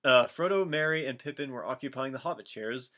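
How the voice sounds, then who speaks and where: Bright-voiced man reading aloud for laughs. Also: mid-range voice, fairly quick. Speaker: reader of a fan-fiction dramatic reading. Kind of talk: comic read-aloud with casual banter.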